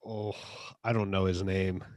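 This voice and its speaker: A man's voice: low voice, little pitch movement, unhurried, darkish tone, no room sound, clean studio sound. A man in his twenties with an American accent, bantering casually and slangily as a podcast co-host. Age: 20s. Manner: slangy, buddy-like, addressing others as bro and dude.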